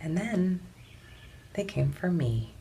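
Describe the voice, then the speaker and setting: An actress playing a weary, defiant suffragette in an intense, deliberate theatrical monologue with heavy pauses. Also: female voice, lowish voice, lively intonation, creaky, dark tone, slight background noise, heavily acted. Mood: haunted, bitter. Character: weary, defiant suffragette woman recalling hunger and beatings.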